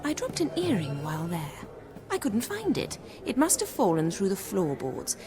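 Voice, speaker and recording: A woman playing a wealthy aging countess in a video game, wistful and genteel, with formal, measured speech. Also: medium pitch, huge pitch swings. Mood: coy, gracious. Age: older.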